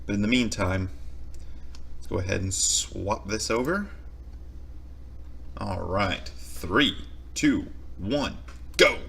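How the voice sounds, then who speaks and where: A man gives casual commentary as a reaction video host. His voice is fairly low but bright and forward, and he explains things in wordy, measured phrases. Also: vocal fry, slight background noise.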